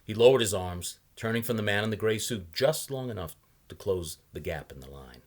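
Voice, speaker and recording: A man reading a story aloud as expressive scripted narration. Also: on the low side, a little fast. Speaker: audiobook reader.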